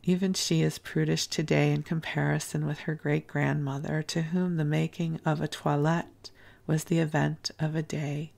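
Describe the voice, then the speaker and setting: A woman reading the lines of a kindly, proper older American matron, gently scolding and emphatic. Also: deep voice, level tone, normal speed, gravelly.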